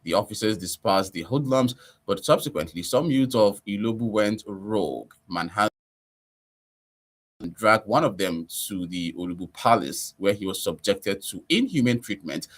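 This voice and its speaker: A man with a Nigerian accent hosting a radio interview, putting formal questions in a measured presenter's manner. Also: lowish voice, expressive.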